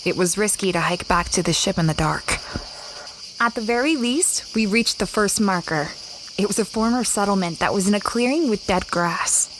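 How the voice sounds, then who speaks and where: A woman playing a kind, curious space explorer who narrates and talks gently and earnestly in an audio drama. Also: mid-range voice, normal speed, close to an everyday voice. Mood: reflective. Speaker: narrator and lead character. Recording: insect-filled jungle noise behind.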